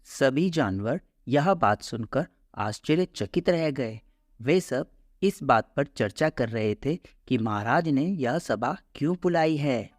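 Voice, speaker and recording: Male storyteller, scripted children's narration, unhurried pace, mid-range pitch, faint background music. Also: expressive, dry recording.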